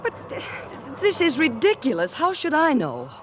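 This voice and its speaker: A woman's voice: sits high, wildly swooping pitch, moderate pace. Indignant, swooping-voiced haughty woman. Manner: indignant, crisply dismissive. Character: indignant, haughty young woman, brisk and scornful. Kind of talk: 1940s radio drama acting. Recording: old radio recording, street noise behind.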